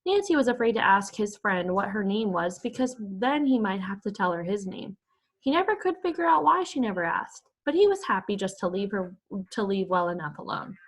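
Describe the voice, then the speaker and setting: A woman reading a children's chapter book aloud to young pupils, like a primary school teacher. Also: medium pitch. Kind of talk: expressive children's story read-aloud.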